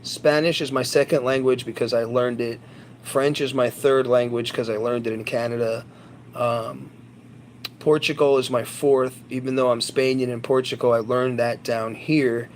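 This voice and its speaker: A man's voice: mid-range voice, level tone, normal speed, slight background noise. A man chatting casually to his viewers on a livestream, rambling, boastful and crude. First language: English.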